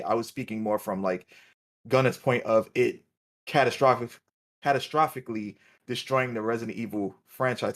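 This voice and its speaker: A man co-hosting a podcast, talking casually and searching for his words as he explains his view. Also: medium pitch. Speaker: podcast co-host. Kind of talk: casual panel discussion.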